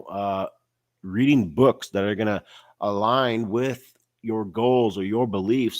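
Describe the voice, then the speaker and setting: A man's voice: on the low side, no room sound, studio quality. A man with a stuffy, nasal voice from a cold, giving a casual motivational talk about mindset and business, often tagging on "right?" and "you know". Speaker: online business coach.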